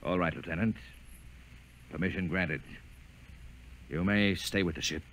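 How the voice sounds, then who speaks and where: An actor playing a stern, decisive commander with an authoritative manner, in 1950s radio drama style. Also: male voice, steady tone, a little slow, fairly bright tone. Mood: grave, stern. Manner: authoritative, barking orders. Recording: noisy old radio broadcast, engine rumble.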